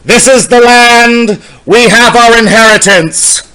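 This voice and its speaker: Male poetry reader, notably high register, solemn and incantatory, with dramatic, measured delivery. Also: bright, forceful tone, smooth, rough recording. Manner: solemn, deliberate, theatrical, incantatory.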